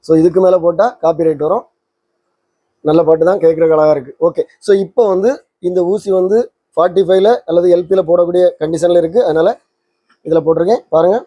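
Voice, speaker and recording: A man's voice: fairly high pitch, fairly even intonation, fairly quick, dark tone, slightly rough, no room sound. A man giving an informal hands-on tutorial, explaining step by step and addressing viewers directly. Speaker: presenter of a record player tutorial channel.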